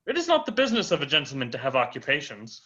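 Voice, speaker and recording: A man's voice: on the high side, huge pitch swings, very fast, bright, forceful tone, full character voice. A man playing a witty, unflappable Russian count on trial in an amateur dramatic reading, formal, eloquent and dryly flippant. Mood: dryly haughty. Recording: dull, muffled lo-fi recording.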